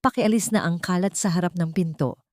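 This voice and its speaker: Woman acting a coldly calm wronged Filipina wife. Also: fairly low pitch, no echo, clean studio sound, lightly acted. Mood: calm, dismissive. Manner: gentle, measured, cutting.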